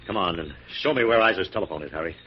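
An actor playing a confident, skeptical, protective detective in 1940s radio style, brisk and authoritative. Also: male voice, deep voice, natural voice. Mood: questioning, brisk. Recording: muffled, noisy 1940s radio recording.

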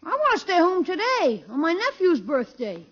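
A woman's voice: very high-pitched, wildly swooping pitch, moderate pace, a bit bright, exaggerated voice. A woman playing a cheeky, wheedling American schoolboy in a 1950s radio comedy. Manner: wheedling, brash. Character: cheeky, pleading schoolboy nephew. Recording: dull vintage radio recording.

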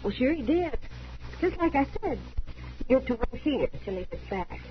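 A stubborn, loyal homesteader woman talks in a rural American accent, folksy and insistent. Her fairly high voice comes through a dull vintage radio recording with faint noise. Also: very animated, normal speed. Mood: defensive, anxious. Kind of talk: old-time radio western drama acting. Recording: muffled old radio broadcast, faint noise.

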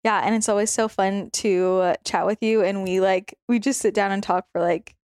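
A woman's voice: mid-range voice, fairly even intonation, moderate pace, darkish tone, no room sound, clean studio sound. A woman hosting a podcast in casual chat with a friend at home, bubbly, laughing often and swearing lightly. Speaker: podcast host.

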